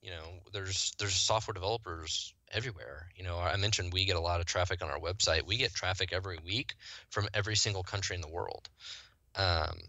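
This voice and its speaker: A man's voice: deep voice, a little fast, pushed and bright, dry recording. A man from the US Midwest, a guest on a podcast, explaining his product casually and with enthusiasm. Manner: fluent, enthusiastic explaining with restarts. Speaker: guest, software company founder.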